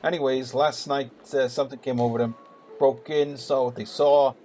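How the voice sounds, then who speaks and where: Male actor as weary police detective, medium pitch, radio drama dialogue, humming background noise. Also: level tone, normal speed, warm tone, lightly acted. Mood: grim, urgent. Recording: clear hum and background noise.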